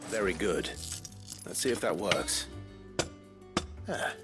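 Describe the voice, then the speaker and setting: An actor playing a calm, kindly man who speaks gently and patiently in a video game. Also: sits low, over loud music. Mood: patient, encouraging. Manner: measured, gentle, encouraging. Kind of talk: video game dialogue acting.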